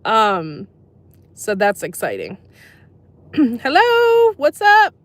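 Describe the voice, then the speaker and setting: A woman's voice: fairly high pitch, huge pitch swings, extremely slow, no room sound. American lady doing a chill livestream, answers chat, laughs a lot. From USA. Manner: chatty, reads and answers live chat, laughs often. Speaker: livestream host.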